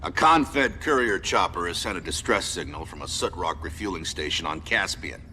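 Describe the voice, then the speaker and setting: An actor playing a serious, authoritative military commander giving a crisp, formal mission briefing in a video game. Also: male voice, low-pitched, expressive, moderate pace, bright, forceful tone, a little hiss or hum. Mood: urgent, formal.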